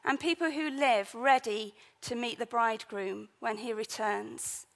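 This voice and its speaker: A woman's voice: on the high side, steady tone, unhurried, creaky. A woman leading a church service, reading scripture, introducing the guest preacher, and praying in an earnest manner.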